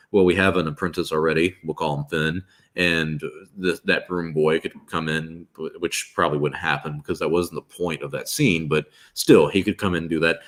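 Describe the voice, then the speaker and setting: A man in his twenties hosting a casual livestream, rambling and restarting as he reads chat and chats aloud. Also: sits low. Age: 20s. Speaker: streamer host.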